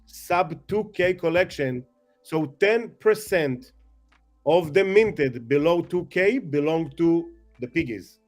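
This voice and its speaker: Excitable rambling man, slightly raised pitch. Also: takes it slowly, soft background music. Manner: excitable, rambling, self-mocking, non-native phrasing. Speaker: guest. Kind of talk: casual chat.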